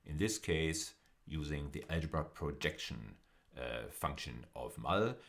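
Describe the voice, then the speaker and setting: A man lecturing in an explanatory style, speaking deliberately and often repeating or rephrasing phrases for emphasis. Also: very deep voice. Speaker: university lecturer.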